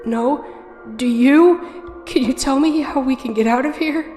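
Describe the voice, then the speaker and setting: A woman voicing a frightened young girl who pleads and shouts her questions. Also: high voice, a little slow, roomy sound, long reverb tail, faint music behind, pitched above the performer's own voice. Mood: scared, angry.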